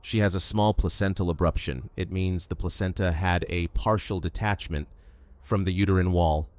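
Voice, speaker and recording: A man playing a calm, reassuring doctor in an audio drama, explaining in a measured, clinical way. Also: sits low, no echo, natural voice. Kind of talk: full-cast audio drama acting.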